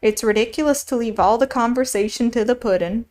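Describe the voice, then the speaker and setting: A woman reading aloud and voicing a bossy, decisive Red Queen who barks orders and speaks slowly and solemnly. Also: on the high side, a little mellow, close to an everyday voice.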